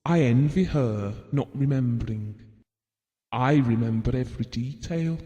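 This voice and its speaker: An actor playing a devoted, gentle husband and father, telling his memories earnestly and tenderly in an audio drama. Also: mid-range voice, measured pace, lightly acted. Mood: grieving. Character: Bill, devoted, gentle husband and father recalling a family tragedy. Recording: reverberant hall-like sound.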